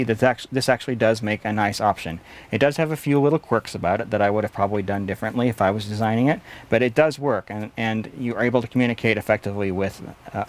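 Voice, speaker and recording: A man in his forties giving an explanatory product review at a desk in a small studio office. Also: fairly low pitch. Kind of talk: explanatory gear review to camera.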